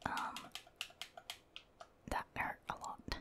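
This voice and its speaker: Whispering woman gamer, hushed ASMR. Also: drawn-out words. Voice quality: soft unvoiced whisper. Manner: hesitant, casual musing aloud to herself. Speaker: ASMR gamer, video host. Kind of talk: ASMR whispered game commentary.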